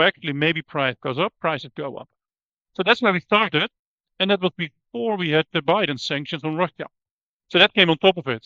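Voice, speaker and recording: A Dutch-accented man with a bright, crisp, fairly high voice explains at an easy pace, pausing on ums and restarting sentences, his ends of phrases dropping soft. The sound is dry and a little muffled, as over a remote line. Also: no room sound. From Netherlands. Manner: thoughtful, many ums and restarts, trails off quietly at phrase ends. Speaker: commodity strategist guest. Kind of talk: conversational expert explanation.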